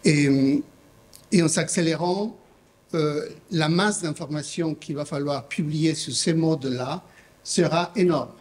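A man's voice: fairly high pitch, a little slow, darkish tone. A middle-aged man from Mexico presenting his publishing house at a conference in a large hall, speaking unscripted with many fillers and restarts into a desk mic. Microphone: desk microphone.

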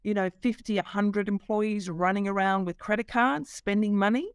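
A woman's voice: medium pitch, normal speed, clean tone, no echo, clean studio sound. A middle-aged woman, a company CEO, explaining her business over a video call, fluent but with many fillers. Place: office.

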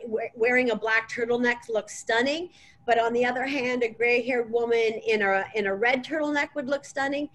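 A middle-aged woman presenting as a stylist in an informal webinar, explaining in a halting way over a video call. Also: on the high side. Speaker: colour analysis stylist presenting. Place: clothing boutique, indoors. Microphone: laptop or tablet on video call. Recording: video call with clear background noise.

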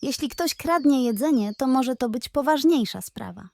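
A woman's voice: sits high, animated, normal speed, a little mellow, voice pushed up. A woman voicing a firm, decisive male animal friend in a bedtime audio story.

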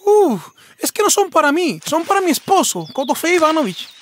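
A man voicing a sly, flattering female fox in an animated folk tale, gushing and coaxing. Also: extremely high pitch, normal speed, slight background noise, voice pushed up, exaggerated voice. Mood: coaxing, bossy.

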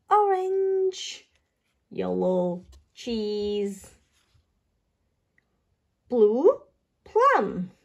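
A woman reading aloud for toddlers, saying words carefully in a sing-song, child-directed way. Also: high voice. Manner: slow, careful labelling of single words for small children. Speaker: children's book reader and channel host.

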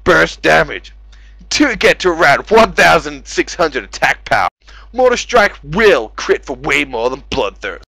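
A man's voice: fairly high pitch, sing-song, measured pace, gravelly, pushed and bright, dry recording, rough recording. A man doing a sarcastic, exasperated, profane dramatic reading of forum posts, exaggerating as he goes. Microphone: close mic on gaming voice chat.

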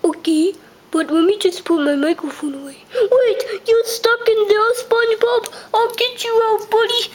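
A child voicing a dopey, good-natured cartoon starfish sidekick in improvised toy play, with halting speech. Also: extremely high pitch. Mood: concerned. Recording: faintly noisy home camera recording.

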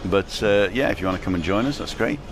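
A man's voice: lowish voice, animated, fast talker, music in the background. A middle-aged man talking to camera outdoors in a casual, rambling vlog style. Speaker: vlogger. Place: outdoors at a bus stop and a bar terrace.

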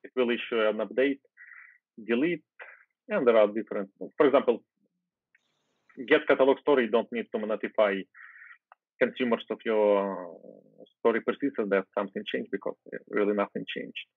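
A Ukrainian-native man in his thirties talks through code in a relaxed way, with fillers and restarts. He sits at home on a video call, and the sound is thin and phone-like. The audio is dry, with no room echo. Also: fairly low pitch, normal speed, long pauses. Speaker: guest software developer. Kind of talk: casual screen-share coding chat.

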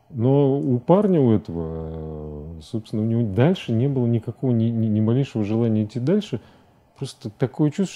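A man's voice: fairly low pitch, dark tone. A middle-aged man giving a casual studio interview as a guest, searching for words as he talks. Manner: hesitant, halting, searching for words. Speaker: guest, animation director. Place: TV studio, seated at desk.

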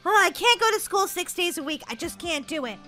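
A woman's voice: very high voice, expressive, brisk, sharp tone, soft background music, pitched above the performer's own voice, exaggerated voice. A woman voicing a dramatic, whiny young schoolgirl in a childish game roleplay skit. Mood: relieved, musing.

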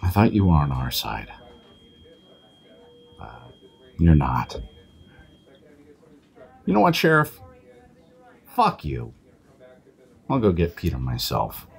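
Man playing a very husky, indignant challenger. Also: a bass voice, wildly swooping pitch, slow, pauses often, full character voice. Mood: angry, resolute. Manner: confrontational, pointed. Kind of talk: audio drama acting.

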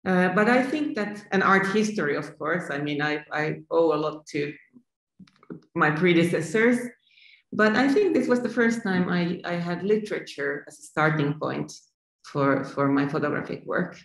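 A Finnish woman in her fifties, an artist calmly and thoughtfully answering questions over a video call from home. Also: fairly low pitch, moderate pace. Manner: thoughtful, reflective, restarts phrases. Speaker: artist guest. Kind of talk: remote interview answers. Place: sunny room at home. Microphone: video call laptop camera. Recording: echoey room.

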